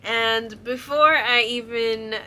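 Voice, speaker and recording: A woman's voice: fairly high pitch, steady tone, slow pace, pushed and bright, a little hiss or hum. A young woman chatting casually to camera, her voice stuffy and congested from a cold, filling her talk with ums and likes. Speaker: vlogger showing a shopping haul.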